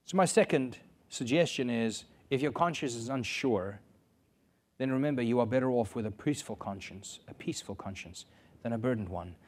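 A man preaches a sermon in English, earnest and direct. He leans on repetition, saying key phrases twice to press his point home. His voice sits at an ordinary middle pitch for a man. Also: animated, normal speed. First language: Afrikaans. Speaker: pastor.

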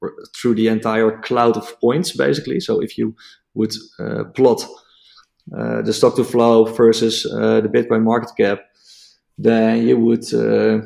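A man with a Dutch accent, a native Dutch speaker, explaining a technical topic as an interview guest, halting with many fillers and restarts. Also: fairly low pitch, level tone, creaky, a little mellow. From Netherlands.

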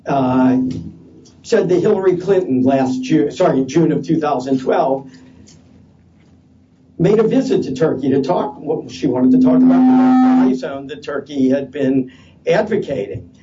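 Man, panel speaker, loud voice, slow deliberate pace, mellow dark tone, halting with fillers, recorded distant and dull lo-fi. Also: on the high side, animated, heavy background noise. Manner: hesitant, many fillers and restarts. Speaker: panel speaker at a policy conference. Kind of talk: unscripted conference talk. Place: conference session room. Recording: distant, dull lo-fi conference audio.

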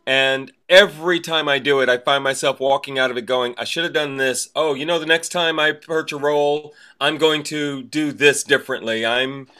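Guy podcast cohost, bright voice, just chatting casually. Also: medium pitch, steady tone, normal speed, few pauses, clean tone. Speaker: podcast co-host. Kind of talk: casual conversation.

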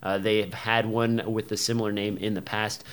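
Low-voiced man hosting a tech podcast. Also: flat delivery, moderate pace, smooth. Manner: rambling, many fillers, laughs easily. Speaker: podcast host. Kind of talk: casual tech podcast chat.

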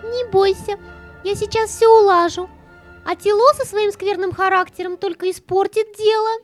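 A sneaky smooth-talking cat, super high and soothing, music behind. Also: female voice, steady tone, moderate pace. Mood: sly, soothing. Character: sly smooth-talking female cat, scheming. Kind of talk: audio play acting.

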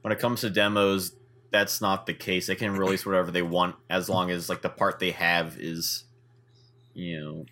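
A man's voice: low voice, flat delivery, moderate pace, sharp tone. A young man co-hosting a casual podcast, making wry jokes and explaining things. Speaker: podcast co-host.

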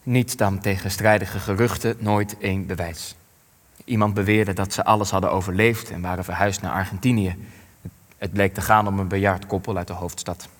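An actor playing an elderly war survivor in a radio play, grieving and ashamed, speaking in measured, weighty phrases. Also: male voice, low-pitched, steady tone. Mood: ashamed, haunted. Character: elderly male war survivor, grief-stricken, ashamed, plain-spoken. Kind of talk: radio play acting, testimony. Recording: long hall-like reverb.

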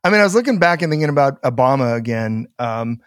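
A man's voice: mid-range voice, animated, fast, fairly bright tone, clean tone, no room sound, studio quality. A man talking politics in a loose, rambling way, often swearing and trailing off mid-sentence. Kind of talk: casual political chat.

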